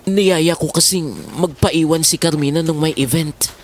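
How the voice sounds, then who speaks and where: A man's voice: a little high, steady tone, a little hiss or hum, lightly acted. A Filipino man playing a guilty married man in an audio drama, speaking in a hesitant, apologetic way. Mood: guilty, flustered. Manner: halting, hesitant. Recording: music and crowd bed behind voice.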